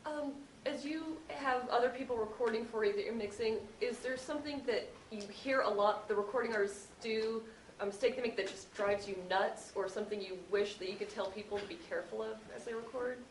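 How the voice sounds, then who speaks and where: A woman talks informally as a panelist on audio production. She is clearly echoey and a little far from the mic, with faint noise in the recording. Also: a little high, brisk. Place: convention panel room.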